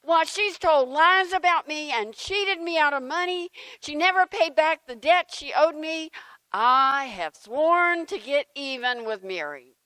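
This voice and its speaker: A woman plays a defensive, easily flustered churchgoer talking to God in a comic reading. The voice is high and clear, with big swings and an unhurried pace. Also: dry recording, heavily acted, rough recording. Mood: vengeful. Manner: animated, indignant, exclaiming.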